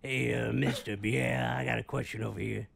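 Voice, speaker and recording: A man voicing a dim, rambling creature who chairs a club meeting in a halting, gravelly comic voice. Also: mid-range voice, fairly even intonation, a bit bright, no room sound, full character voice. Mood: rambling, earnest. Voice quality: gravelly, creaky. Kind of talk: comic character impression in a fan video. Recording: crowd noise, replayed on a stream.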